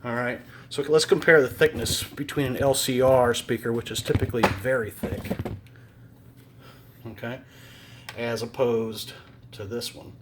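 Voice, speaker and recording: A man's voice: medium pitch, a little hiss or hum. A man, a home theater installer, casually explaining gear in a basement with many ums and uhs. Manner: relaxed, rambling, lots of fillers. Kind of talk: casual on-site video demo. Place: unfinished basement of a house.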